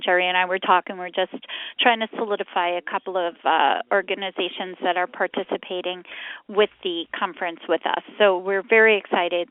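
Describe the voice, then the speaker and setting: A woman's voice: medium pitch, clean tone. A woman speaking informally and upbeat, making an announcement as a foundation founder over a phone line. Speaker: foundation founder, show regular. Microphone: telephone call. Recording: narrow, tinny phone line.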